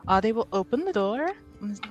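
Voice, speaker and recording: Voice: medium pitch, lively intonation, a little fast, lo-fi sound, loud music behind the voice. A player chatting casually and describing actions in a tabletop roleplaying game.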